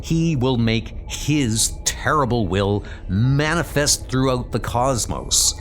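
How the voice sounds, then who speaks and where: A man's voice: medium pitch, a little hiss or hum. A man voicing a haughty, grave godlike scientist in a comic book dub, with a pompous, formal, declamatory delivery.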